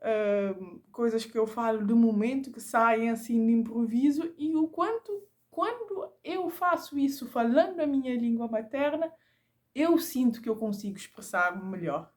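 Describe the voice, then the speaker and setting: A woman from Cape Verde, a Creole native speaker, talks in Portuguese with a Cape Verdean accent. Her voice sits a little high, and she reflects aloud, pausing and repeating small words as she gathers her thoughts. It is an informal, personal vlog monologue. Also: a little mellow. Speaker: vlogger.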